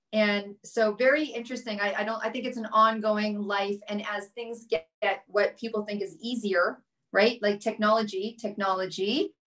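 A woman's voice: mid-range voice, fairly bright tone. A woman co-hosting a podcast in casual chat over a video call, repeating phrases and checking in with "right?". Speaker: podcast co-host. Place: indoor room on a video call. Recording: distant mic on video call.

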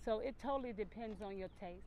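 A middle-aged woman with a Southern American accent, a real estate agent talking straight to camera outdoors at a building site in an upbeat, direct sales-pitch way. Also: fast, darkish tone, a little hiss or hum. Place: outdoors at a housing construction site. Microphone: camera facing her at close range.

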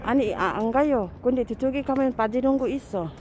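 A woman's voice: on the high side, moderate pace, a little mellow. A woman with a Filipino accent chatting casually as a vlogger, recorded outdoors on a handheld phone. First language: Tagalog. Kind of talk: casual walking vlog chat. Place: outdoors at a riverside camping area. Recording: outdoor handheld recording with clear background noise.

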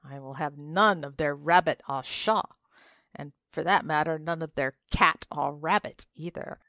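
Woman as a hesitant, fussy gentleman guest. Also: low voice, measured pace, no echo, studio quality. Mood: wary. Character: polite, squeamish male dinner guest.

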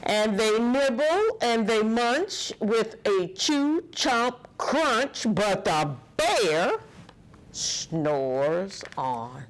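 A middle-aged woman reading a picture book to children in a deliberate, dramatic, expressive style. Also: on the high side. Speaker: children's story reader. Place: indoor office room. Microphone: lapel.

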